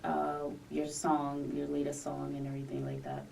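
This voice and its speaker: A woman with a low, mellow voice hosts the interview, chatty and quick to laugh. She sits a little away from the mic, and a faint hiss runs underneath. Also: very animated, gravelly, recorded from a distance. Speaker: podcast host. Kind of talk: casual interview chat.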